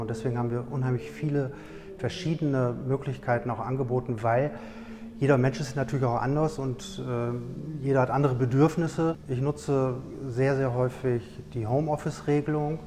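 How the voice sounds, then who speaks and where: A middle-aged man, a technical director, speaking in a measured, slightly hesitant way in a corporate video interview on a lapel mic. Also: medium pitch, fairly even intonation, dark tone, big-room sound, a little hiss or hum. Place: indoor showroom with product shelves.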